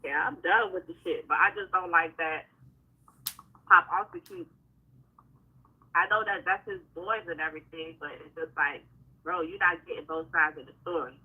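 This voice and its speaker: A hoarse woman singer talking heatedly in a casual livestream chat, venting in slangy speech. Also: on the low side, lively intonation, normal speed, long pauses, narrow, tinny audio. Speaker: singer and guest.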